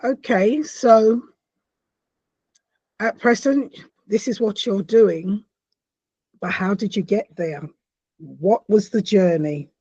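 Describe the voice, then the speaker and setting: A woman hosts an interview in a chatty, welcoming way. Her voice is relaxed and mellow. The sound is dry and somewhat dull, like a lo-fi call. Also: medium pitch, slow pace, pauses often, husky, no echo. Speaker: host. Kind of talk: conversational interview.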